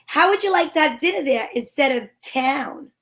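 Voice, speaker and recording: A woman's voice: high-pitched, animated, moderate pace, fairly bright tone. A woman playing a breezy female private investigator in an amateur reading of an old-time radio play.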